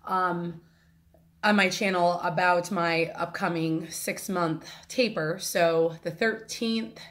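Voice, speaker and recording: A woman in her thirties with a slightly low voice records a casual vlog on a phone held at arm's length in her kitchen. She rambles and apologizes, restarting sentences and filling pauses with "um." She sounds a bit congested from a sinus infection. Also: fairly even intonation, a bit bright. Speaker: vlogger. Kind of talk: casual talking-to-camera vlog. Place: home kitchen, indoors. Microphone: phone camera at arm's length.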